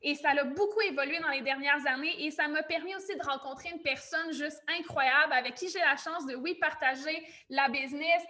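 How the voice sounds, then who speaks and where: A woman's voice: sits high, fairly quick, few pauses, pushed and bright. A woman in her thirties with a Québécois French accent, hosting an informal online webinar in an effusive, welcoming way. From Quebec, Canada. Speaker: webinar host and business coach.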